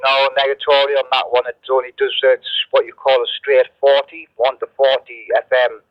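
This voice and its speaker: A man's voice: mid-range voice, level tone, a little fast, no echo. Muffled man over CB radio. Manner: informal, uses radio slang. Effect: CB radio transmission. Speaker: CB radio hobbyist. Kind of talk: casual radio chat.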